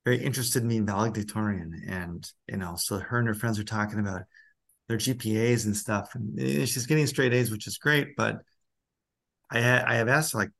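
A man's voice: on the low side, fairly quick, vocal fry, fairly bright tone. A middle-aged man interviewing in a conversational way, reflective and tentative as he thinks aloud. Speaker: interviewer and school principal.

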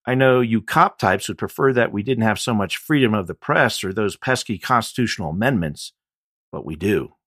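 Guy doing a smartass punk journalist for an audiobook, raspy, pushy, wry, raised voice. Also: fairly low pitch, animated, normal speed, a bit bright, no echo, studio quality, voice pushed up. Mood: sardonic, defiant. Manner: wry, challenging. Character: brash sardonic male punk journalist on the job. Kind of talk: audiobook character dialogue.

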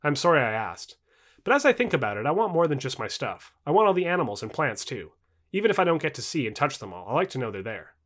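A man's voice: medium pitch, very animated, fast, a bit bright. A man voicing a skeptical, sarcastic present-day woman in an audio book dialogue. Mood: questioning. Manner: wry, sarcastic, questioning.

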